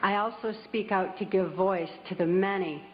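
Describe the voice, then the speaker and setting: A woman giving a public speech as testimony, speaking in a deliberate, emphatic way. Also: medium pitch, slight background noise. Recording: dull recording with long hall reverb.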